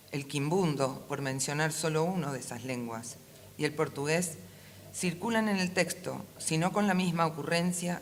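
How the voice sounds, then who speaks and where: A woman with a Rioplatense Argentine accent reads a prepared talk aloud in a measured, formal, literary manner as a panel speaker. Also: sits low. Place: conference room at a book fair. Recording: faint ambient event noise.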